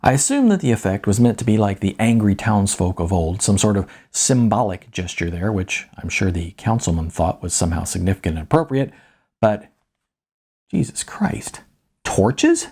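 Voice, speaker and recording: A man narrating a first-person story in a wry, colloquial, emphatic storytelling manner, with a slightly husky voice. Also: fairly low pitch, sing-song, normal speed, a little mellow. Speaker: author reading own novella.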